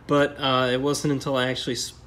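A man's voice: mid-range voice, level tone, quick pace, fairly bright tone, slight background noise. A man giving a casual hands-on repair explanation in a hesitant, matter-of-fact way. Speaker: car repair vlogger.

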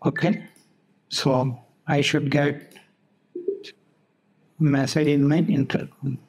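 Slow-paced man, higher-pitched voice. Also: level tone, darkish tone, poor audio. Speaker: host of a tutorial channel. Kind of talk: screen-recorded software tutorial narration. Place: voice-over for a screen recording.

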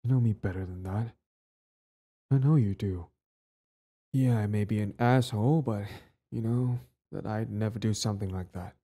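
A possessive young bully who is hopelessly lovestruck played by a man for an intimate audio roleplay. His voice sits fairly low with a dark, relaxed tone. He comes across as clingy and earnest, tripping over words as he goes. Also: normal speed, pauses often, husky. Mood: tender, confessing.

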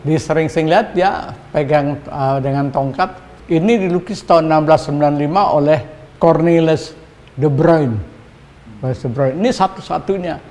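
An older man speaking conversationally in an interview at home, pausing often. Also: a little high, a little mellow. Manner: hesitant, uses fillers like apa. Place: indoor home.

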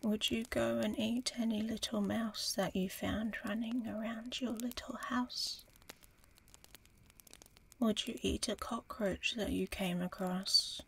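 A woman voicing an ancient female dragon in an ASMR roleplay, proud and curious, with a breathy, deliberate, teasing menace. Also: on the high side, fairly even intonation, a bit bright, slight background noise, full character voice. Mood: intrigued.

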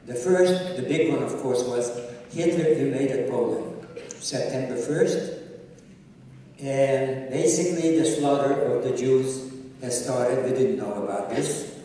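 An older man from Czechoslovakia whose first language is Hungarian, telling his life story as a guest speaker on an auditorium stage, in a measured storytelling way that speaks straight to the audience. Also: medium pitch, dark tone, a little hiss or hum. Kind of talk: personal testimony talk. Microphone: handheld mic, recorded from audience. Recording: distant echoey auditorium recording.

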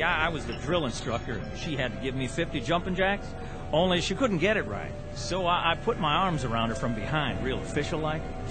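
A man acting in a radio drama as an irritable, sarcastic army corporal who talks in slangy wisecracks. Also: a little high. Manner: brash, sarcastic, colloquial. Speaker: actor. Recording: radio drama with music underneath.

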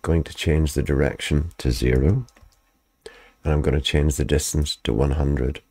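A man with an extremely low, deep voice talks through a software editing tutorial one step at a time. He is calm and methodical, with a slight croak left over from the flu. The sound is dry and close, with no room echo. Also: normal speed. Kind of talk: screen-recorded software tutorial.

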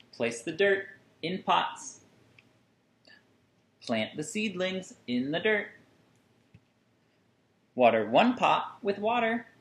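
A man in his thirties reading a picture book aloud to children with deliberate, singsong phrasing and dramatic emphasis. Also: medium pitch, a bit bright. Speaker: children's story reader. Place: small furnished room at home, indoors. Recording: roomy indoor echo.

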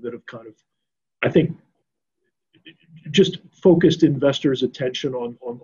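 An older man speaking off the cuff on a video call, measured and halting, repeating words as he thinks. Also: medium pitch, darkish tone, husky. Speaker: panelist in a business discussion. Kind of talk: unscripted conference discussion. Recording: slightly dull video-call audio.

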